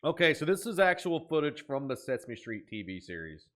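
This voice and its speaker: A man with a high voice hosts a casual reaction video. His pitch swings widely and his manner is animated, with dramatic exclamations as he comments. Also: fairly quick, over music.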